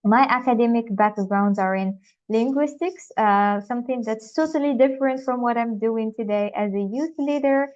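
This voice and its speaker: A woman's voice: fairly high pitch, normal speed, darkish tone. A young woman from Morocco, a youth leader and trainer, gives an online workshop presentation with some small hesitations. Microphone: video call. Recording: slightly dull, lo-fi video call audio.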